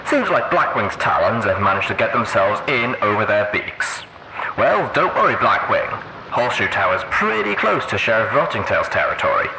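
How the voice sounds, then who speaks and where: A man's voice: mid-range voice, reverberant, background noise, voice pushed up. A man voicing a brash, mocking pony radio DJ in a showy announcer style, reading out bulletins. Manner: sarcastic, showy announcer delivery.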